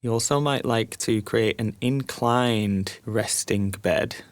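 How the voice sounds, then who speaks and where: A man guiding a sleep meditation as a narrated story, soothing and hushed, leaving long pauses between phrases. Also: fairly low pitch, no echo.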